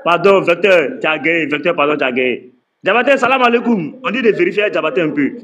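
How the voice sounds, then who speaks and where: A man's voice: a little high, lively intonation, quick pace, a bit bright. A man hosting a heated live-stream debate, interrupting and stammering repeated words as he moderates.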